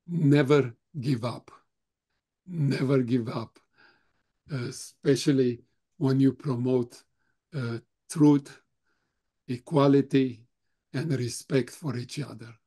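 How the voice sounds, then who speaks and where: An older man whose first language is German, slowly answering questions at a remembrance talk with many halting pauses. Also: medium pitch, dark tone, breathy edge. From Europe; grew up speaking German, Russian, Romanian. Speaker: Holocaust survivor guest speaker.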